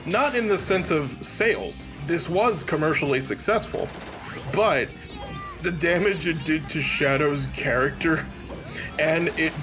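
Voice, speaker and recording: A man giving casual video game commentary in a critical, wry manner. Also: on the high side. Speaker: let's play commentator.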